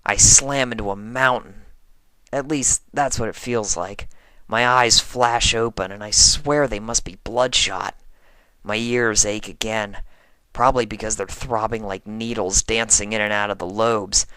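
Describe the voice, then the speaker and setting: A performer reading fan fiction aloud as a dramatic first-person narration, in a deliberate manner. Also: medium pitch, fairly even intonation, sharp tone, clean tone, no room sound.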